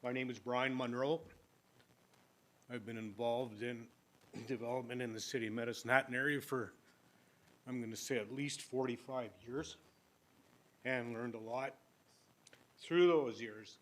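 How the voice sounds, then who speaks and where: Older man, applicant at a council hearing, plainspoken, sometimes booming. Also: fairly even intonation, a little slow, long pauses. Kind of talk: public hearing presentation. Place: council chamber. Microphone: podium microphone.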